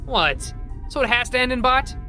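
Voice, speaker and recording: A man plays an excitable little robot who can't stop talking, rattling off ideas and jokes. His voice is bright and springs up and down all over the place, with faint music behind. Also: very high voice, full character voice. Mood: enthusiastic. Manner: rapid, chirpy, rambling, joking. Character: chatty excitable robot bartender, male. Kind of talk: fan audio drama acting.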